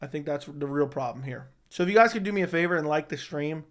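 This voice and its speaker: A slightly hoarse young man hosting a casual live chat, answering viewers with blunt opinions. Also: on the high side, quick pace. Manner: opinionated, talks straight to chat. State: slightly hoarse, losing his voice. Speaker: livestream host answering chat.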